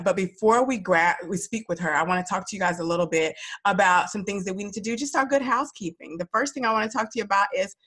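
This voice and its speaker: A woman's voice: on the low side, a bit bright. Stuffy-nosed woman podcast host. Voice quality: nasal. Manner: enthusiastic, encouraging. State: stuffy nose from a cold. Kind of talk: upbeat podcast interview.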